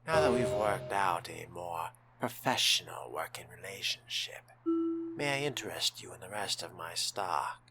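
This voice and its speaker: A man voicing a suave, courtly vampire fledgling in game roleplay, with a breathy, hushed voice and formal, genteel wording. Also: deep voice, lively intonation, normal speed, background noise. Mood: smooth, scheming. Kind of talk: in-character game roleplay narration.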